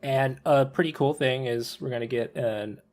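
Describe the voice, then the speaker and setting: A man's voice: medium pitch, normal speed, a little mellow. A man hosting a podcast in a casual, conversational chat style. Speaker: podcast host. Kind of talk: casual podcast chat about visual novels.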